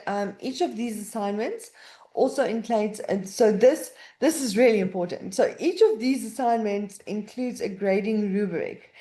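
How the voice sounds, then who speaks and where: Mellow-voiced woman presenting a webinar. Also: medium pitch. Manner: conversational, frequent fillers and self-corrections. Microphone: computer mic on a video call.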